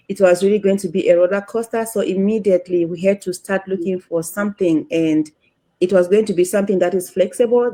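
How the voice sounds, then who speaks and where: A woman's voice: fairly low pitch, warm tone. A woman from Zimbabwe, an entrepreneur, telling her story fluently on a video call with many "you know"s. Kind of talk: self-introduction.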